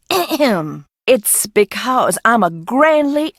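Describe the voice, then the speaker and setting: A woman playing an eccentric, unbalanced recluse in a video game, speaking theatrically and cryptically with dramatic pauses and a darkly playful edge. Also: fairly high pitch, very animated, no echo, clean studio sound, full character voice. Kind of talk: video game character dialogue.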